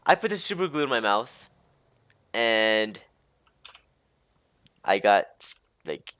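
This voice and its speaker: A young man telling personal stories in a casual, rambling way, halting and easily sidetracked, leaning heavily on filler words like "like". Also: on the low side, very animated, fairly bright tone, clear, resonant tone, dry recording. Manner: hesitant, halting, easily distracted, heavy use of "like". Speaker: storyteller.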